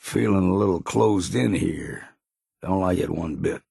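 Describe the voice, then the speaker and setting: Gruff old island drinker for a game, super gravelly, slow folksy drawl, wry. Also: male voice, low-pitched, warm tone, dry recording, studio quality, heavily acted. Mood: wry, easygoing. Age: older. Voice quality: gravelly, husky. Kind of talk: video game character voice lines.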